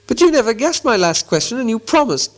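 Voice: mid-range voice, big pitch swings, a little fast, voice pushed up, natural voice. A storybook reader voicing a plucky little male hobbit, indignant and insistent, in plain-spoken exclamations. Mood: annoyed. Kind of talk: storybook character voice.

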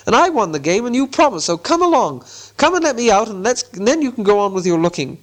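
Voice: mid-range voice, sing-song, a little fast, pitched above the performer's own voice, natural voice. A storybook reader voicing a plucky little male hobbit, indignant and insistent, in plain-spoken exclamations. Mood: pleading, impatient. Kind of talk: storybook character voice.

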